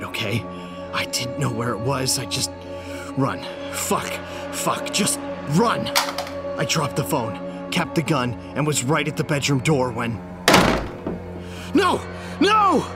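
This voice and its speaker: A man's voice: fairly high pitch, full character voice. An actor playing a frightened, armed man trapped at home, telling his horror story in intense, fragmented bursts. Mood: frantic, terrified. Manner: intense, breathless self-talk with short fragmented phrases. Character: panicked man trapped at home by an unseen intruder, armed, frantic. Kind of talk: first-person horror audio drama narration. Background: music.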